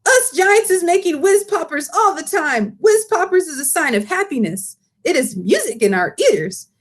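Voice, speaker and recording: A woman voicing a big, friendly old male giant, excitable and indignant, with mangled grammar and invented words, in a storybook read-aloud. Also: very high-pitched, normal speed, voice pushed up. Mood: gleeful.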